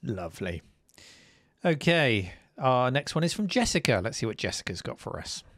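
A man's voice: medium pitch, very animated, normal speed, fairly bright tone, dry recording, clean studio sound. A man hosting a live stream, chatting and reacting to viewers' videos. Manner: chatty, enthusiastic. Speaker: host.

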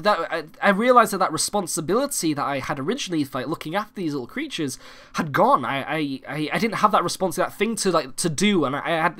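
A young man from the United Kingdom, hoarse and croaky from a cold, hosting a casual gaming-channel vlog and rambling with many fillers and restarts. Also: fairly high pitch, fairly bright tone. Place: home recording room.

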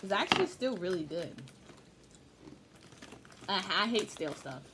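A woman's voice: mid-range voice, very animated, a little slow, heavy background noise. A young woman co-hosting a casual family snack review at home, chatty and rambling. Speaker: co-host. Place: small wood-paneled room indoors.

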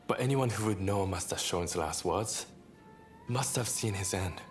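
An actor playing a courteous wounded samurai in a video game, speaking in an earnest, polite, measured manner. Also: male voice, deep voice. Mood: earnest, regretful. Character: grateful, courteous wounded samurai activist recovering. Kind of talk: dramatic video game cutscene acting. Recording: game dialogue over clear background music.

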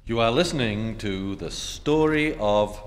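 A man playing a measured announcer narrating an old-time Hollywood radio show. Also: on the low side, lively intonation. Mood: measured, presentational. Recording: reverberant stage hall, faint noise.

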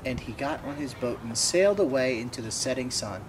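A man in his twenties reads a children's picture book aloud with a mid-pitched voice. He is recorded outdoors from a short distance, with clear background noise like distant traffic under his reading. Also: lively intonation, a little fast. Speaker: storybook reader. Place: outdoors by a wooden fence.

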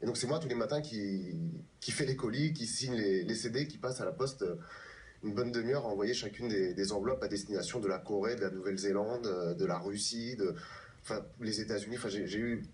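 Male interview guest, 30s, low register, halting explanations, picked up from a distance. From France, born in Paris. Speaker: guest, pianist and composer. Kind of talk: live TV interview. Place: TV news studio, replayed from a television. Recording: distant, off a TV screen, faint music.

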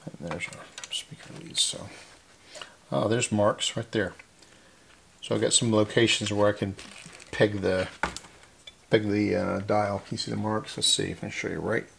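A man's voice: lowish voice, steady tone, normal speed, creaky, long pauses, a bit bright. An older man giving casual repair commentary at a workbench, folksy and muttering to himself as he works, with faint noise and clicks in the recording. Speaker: hobbyist repair vlogger. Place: cluttered indoor workbench.

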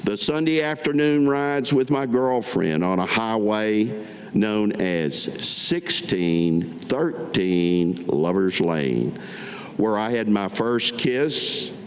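An older man from Kentucky reads his writing aloud at a podium. His voice is on the low side and moves very slowly, with deliberate phrasing. The room leaves a long echo around him. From Kentucky, United States. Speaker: veteran reading his own written piece. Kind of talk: staged reading of autobiographical writing. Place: public meeting room, at a podium. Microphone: podium gooseneck microphone. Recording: echoey hall with background noise.

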